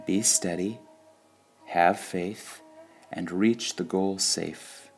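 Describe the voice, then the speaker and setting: A man reading devotional sayings from a script in a measured, deliberate way, pausing between short phrases. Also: low voice, fairly even intonation, soft background music. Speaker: reader of spiritual quotations.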